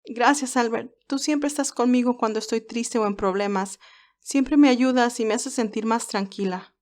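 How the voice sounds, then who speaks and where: A feisty, sweet young nurse from a romantic audio story, acted out by a woman. She speaks in a girlish, expressive way, with plenty of emphasis and feeling. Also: a little high, normal speed, voice pushed up, natural voice. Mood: grateful, tender. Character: Candy, sweet, feisty young nurse, easily moved and teasing.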